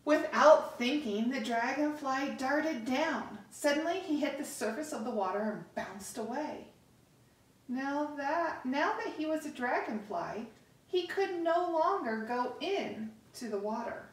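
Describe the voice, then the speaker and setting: A middle-aged woman reading a picture book aloud to children, with deliberate, expressive pauses, recorded across a living room. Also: high-pitched. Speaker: story time host reading to children. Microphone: camera across the room. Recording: echoey room, distant microphone.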